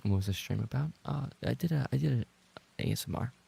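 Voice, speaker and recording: A man chatting casually as a livestreamer, rambling and trading playful banter with his viewers, in a gravelly, husky voice. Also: mid-range voice, lively intonation, fast, warm tone. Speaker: livestreamer and ASMR voice actor reading chat.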